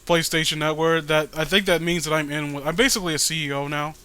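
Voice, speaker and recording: A man's voice: a little high, fairly even intonation, quick pace, pushed and bright, smooth. A man giving casual, mocking read-along commentary in a profane, sarcastic, ranting way. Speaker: host.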